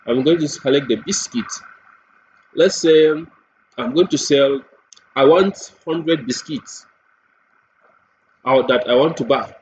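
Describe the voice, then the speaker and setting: Man narrating tutorial, muffled noisy recording. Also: mid-range voice. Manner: deliberate, repeats phrases, asks himself questions. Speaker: software demo presenter. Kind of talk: step-by-step screen tutorial narration. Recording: dull lo-fi mic with clear background noise.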